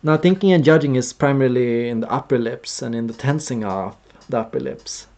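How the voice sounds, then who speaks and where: A man's voice: mid-range voice, brisk, darkish tone. A young man from Sweden, casually explaining on a livestream in an earnest way and acting out gestures as he goes. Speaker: streamer.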